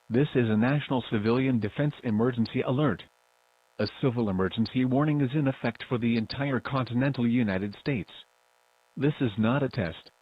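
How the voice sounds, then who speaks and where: A man voicing an ominous emergency broadcast announcer in an analog horror piece, stern and formal with a gravelly voice. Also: medium pitch, dry recording, muffled recording, lightly acted. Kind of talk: scripted emergency alert narration, analog horror.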